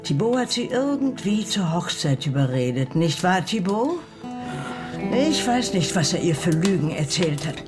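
A woman's voice: fairly low pitch, big pitch swings, measured pace. A woman playing a bitter, grieving mother in a German Western radio play, recounting her past in a grave, emotional way. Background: music.

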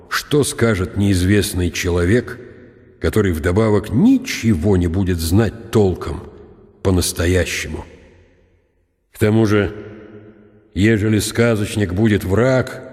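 An actor playing an aging military count, proud and brooding, in a deliberate, reflective radio drama monologue. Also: male voice, on the low side, darkish tone, dry recording. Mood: brooding, bitter. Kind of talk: dramatized literary reading with inner monologue. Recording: studio radio drama with music behind.

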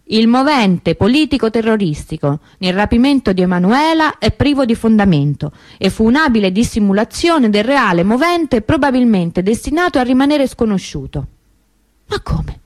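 An actress playing an anguished, stubbornly hopeful grieving sister in a theatrical radio monologue, intense and emotive with dramatic pauses. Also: female voice, a little high, big pitch swings, darkish tone, dry recording. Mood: grave, then indignant.

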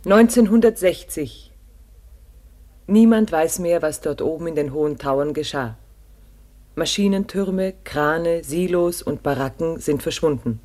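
A woman's voice: deep voice, lively intonation, a little slow, warm tone. A woman narrating a radio drama in a solemn, literary style.

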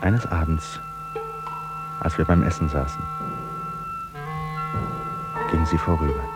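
A man acting in a German radio play as a reflective painter, with a measured, literary delivery. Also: very deep voice, huge pitch swings, loud music behind the voice, full character voice. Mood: reflective, melancholy. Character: reflective, helpful male painter recalling jungle expedition.

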